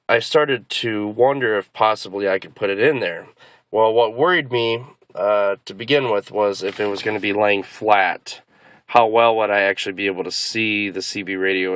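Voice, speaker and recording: A man with a slightly deep voice talks in a casual, explanatory vlog style. His delivery is halting and unscripted, as he works out his wording while he goes. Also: fairly bright tone. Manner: halting, hesitant, thinking aloud. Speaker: car vlogger. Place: inside a car.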